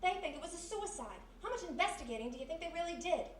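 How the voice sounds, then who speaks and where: A roomy, far-off live recording of an actress playing a sharp-witted American woman sidekick in an old-time radio mystery. She talks fast and insistently, with stubborn, slangy urgency, over faint noise. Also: very high-pitched, lively intonation. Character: quick-thinking, stubborn young woman, detective's sidekick. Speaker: actor. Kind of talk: 1940s-style radio mystery acting, live. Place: live performance in a historic house.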